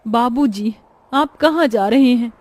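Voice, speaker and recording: A woman's voice: a little high, unhurried, darkish tone, voice pushed up. A woman voicing a caring, dutiful young daughter of a poor family in a cartoon story, earnest and concerned.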